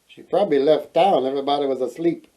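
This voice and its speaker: A man reading a children's fairy tale aloud in a playful, expressive way, adding joking asides. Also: on the high side, fast. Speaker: storyteller, host.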